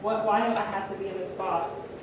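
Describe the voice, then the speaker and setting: A woman's voice: mid-range voice, fairly even intonation, fairly quick. Hesitant woman panelist, far off and echoey. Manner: hesitant, searching for words. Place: large echoey lecture room. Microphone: far room microphone. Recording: echoey room, distant mic, loud background noise.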